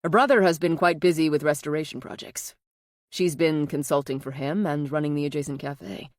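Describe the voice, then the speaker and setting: A woman narrator voices an earnest, self-conscious man in an audiobook. He is plain-spoken and restrained, a little awkward, pitched low in a dry, close recording. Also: fairly quick, dry recording. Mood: polite, plain.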